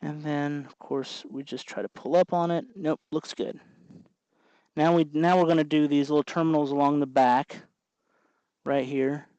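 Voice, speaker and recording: A man's voice: a little high, fairly even intonation, moderate pace, mellow, smooth, no echo, highs cut off. Man giving a dry-sounding hands-on tutorial. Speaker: host of a DIY electronics tutorial. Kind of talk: hands-on tutorial, talking while working.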